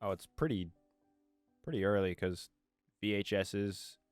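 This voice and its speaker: A man's voice: on the low side, unhurried, no echo, soft background music. A man doing a casual let's play, reading narration aloud and commenting on it, sometimes tripping over his words. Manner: stumbles over words while reading, self-correcting.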